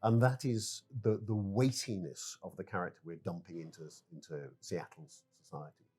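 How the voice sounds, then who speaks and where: Low-voiced man answering haltingly in an interview. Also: lively intonation, warm tone, husky. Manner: hesitant, halting, careful phrasing. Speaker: game developer being interviewed.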